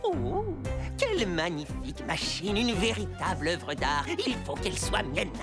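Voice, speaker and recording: Haughty little cartoon boy villain, theatrical and grandiose, very animated pitch, music underneath. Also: measured pace. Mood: gloating, scheming. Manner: pompous, theatrical, grandiose wording. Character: haughty scheming boy villain who steals toys. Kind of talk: animated cartoon dub acting.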